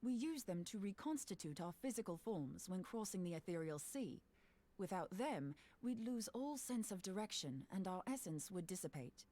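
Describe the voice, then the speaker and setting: A woman's voice: mid-range voice, expressive, normal speed, mellow, close to an everyday voice. A woman playing a bold, self-assured scholar in a fantasy video game, explaining things breezily. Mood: keen, explanatory.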